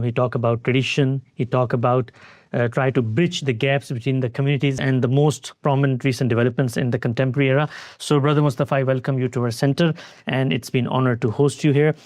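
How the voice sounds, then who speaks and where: A man's voice: medium pitch, darkish tone, dry recording, clean studio sound. A man hosting an interview, welcoming his guest with formal, polite introductions. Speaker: interview host.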